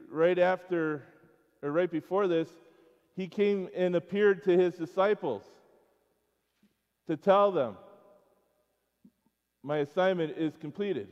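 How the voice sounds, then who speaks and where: This is a middle-aged American man speaking at a school chapel in a fairly high male voice. He preaches informally to children, in short halting phrases with questions mixed in. The sound is dull and carries the long reverb of a church hall. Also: clean tone.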